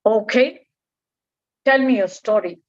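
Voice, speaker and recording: A huge old storybook mountain speaks in short, blunt, flat lines, as a woman narrator puts it on. The voice stays slow and high, and the recording sounds dull and lo-fi. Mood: curious.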